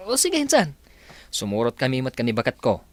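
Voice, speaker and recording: A jovial Ilocano village man for a radio comedy-drama. He sounds friendly and encouraging. His voice sits at a middle pitch and moves about expressively. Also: huge pitch swings, fairly quick, dry recording. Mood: jovial, teasing.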